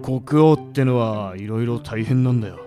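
A man playing a rough-spoken but sensible adventurer, blunt and casual, in a comedic radio drama. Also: medium pitch, normal speed, music in the background, close to an everyday voice. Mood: musing.

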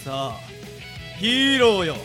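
A man's voice: high voice, very animated, slow pace, faint music behind, heavily acted. A man playing a bombastic, boastful villain monster leading his gang in an amateur audio drama. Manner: theatrical, boastful, shouting.

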